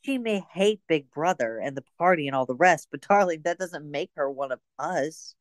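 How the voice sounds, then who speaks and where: A woman's voice: sits low, lively intonation, moderate pace, dry recording, clean studio sound. A woman playing a bold, pragmatic young woman and secretive lover in a radio drama, speaking in endearments. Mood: reluctant, pleading. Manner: endearing, frequently says darling.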